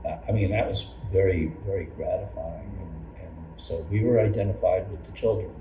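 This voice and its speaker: Older guy w really low voice telling church memories, kinda halting, mic far away w noise. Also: steady tone, phone-quality sound. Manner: halting, circling storytelling with repeated phrases. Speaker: longtime church member and Sunday school teacher. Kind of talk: personal reminiscence in an oral history interview. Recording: roomy, distant mic, noisy background.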